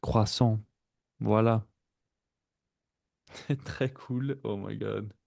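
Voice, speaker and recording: Low gravelly man calling live market setups. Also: steady tone, extremely slow, a little mellow, no room sound, studio quality. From United States. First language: French. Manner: methodical, calls out price levels and setups; chats with viewers between chart reads. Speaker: day-trading stream host.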